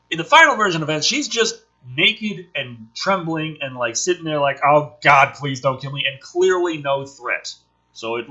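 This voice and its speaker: A man's voice: a little high, sharp tone, breathy edge, muffled recording. A man doing a dramatic script reading with sarcastic, sweary commentary, theatrical and exasperated in delivery. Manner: theatrical, exasperated, foul-mouthed. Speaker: host reading a film script draft with commentary.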